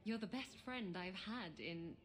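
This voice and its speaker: An actress playing a flirtatious, irreverent female seafaring adventurer in a video game, with a teasing, unhurried delivery. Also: medium pitch, lightly acted. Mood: wistful affection. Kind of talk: video game dialogue acting.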